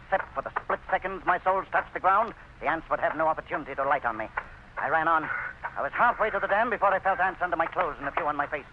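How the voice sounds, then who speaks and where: An actor playing a resolute, proud planter in an old-time radio drama, narrating his own ordeal with intense, halting delivery. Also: a little high, natural voice. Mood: driven, urgent. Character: resolute, proud male planter battling swarming ants in agony. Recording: noisy, muffled vintage radio recording.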